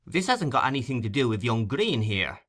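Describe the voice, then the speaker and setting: A man's voice: medium pitch, sing-song, a little fast, a bit bright. A man voicing a cocky, streetwise young pickpocket boy in an audiobook, with swaggering, slangy talk. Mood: emphatic, slangy.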